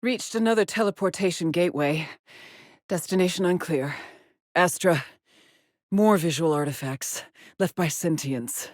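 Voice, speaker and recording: A woman's voice: lowish voice, unhurried, long pauses, darkish tone, no echo, studio quality, close to an everyday voice. An actress voicing a haunted, determined female astronaut scout in a video game, with terse, introspective, formal phrasing. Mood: focused, clinical. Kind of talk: dramatic video game monologue and log entries.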